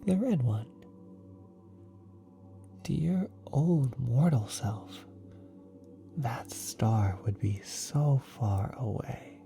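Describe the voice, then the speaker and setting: A woman voicing a kind, nurturing celestial being in a soft, breathy, often whispered ASMR roleplay, gently reassuring the listener. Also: a bass voice, heavily acted. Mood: gentle, instructive. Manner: gentle, reassuring, hesitant, coaxing. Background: spacey ambient music.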